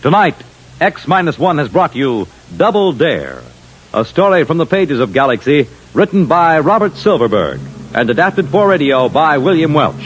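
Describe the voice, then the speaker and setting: A man's voice: fairly high pitch, big pitch swings, normal speed, a little hiss or hum, poor audio. A man announcing in scripted 1950s network radio style. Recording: vintage radio broadcast.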